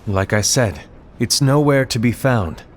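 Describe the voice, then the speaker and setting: An actor playing a grave, suspicious leader of a fantasy order in a game, speaking solemnly and formally with deliberate phrasing. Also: male voice, mid-range voice, a little hiss or hum, close to an everyday voice. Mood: troubled. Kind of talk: scripted game cinematic acting.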